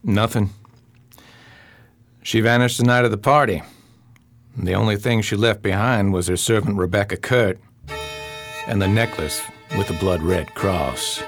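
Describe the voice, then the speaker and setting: A man playing a calm, discreet, reassuring investigator in a radio drama. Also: on the low side, measured pace, long pauses, soft background music.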